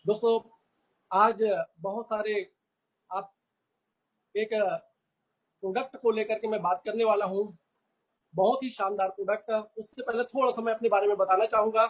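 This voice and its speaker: A man with a very high, husky voice gives an earnest product training talk. He speaks to his listeners as friends and comes back to the same phrases again and again. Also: fairly even intonation, normal speed, pauses often, highs cut off. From India.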